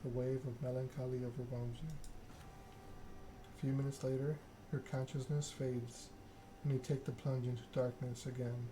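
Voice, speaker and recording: A man softly narrating a story game in ASMR style, reading in a measured, deliberate way. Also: medium pitch, little pitch movement. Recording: clearly noisy background ambience.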